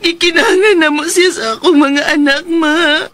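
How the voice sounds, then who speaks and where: A woman's voice: high-pitched, moderate pace, a bit bright. A woman acting an anxious, emotional young mother in a radio drama, speaking with a Cebuano Filipino accent. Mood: distraught, pleading.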